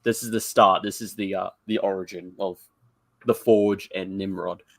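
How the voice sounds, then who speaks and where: A man with a fairly low voice speaks as a podcast panelist, casually explaining. The recording is close and dry. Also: steady tone, moderate pace, vocal fry, a bit bright, no echo.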